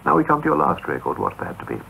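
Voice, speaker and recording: A man hosting a radio interview in a brisk, polite manner, heard through a tinny off-air cassette recording. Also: fairly high pitch, wildly swooping pitch, vocal fry, bright, forceful tone, a little hiss or hum.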